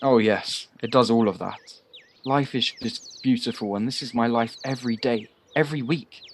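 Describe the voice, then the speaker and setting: A man's voice: mid-range voice, normal speed, lightly acted. A young man acting a simple, earnest, friendly twenty-five-year-old in an amateur audio drama. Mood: earnest, contented. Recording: faint noise, home-recorded on personal device.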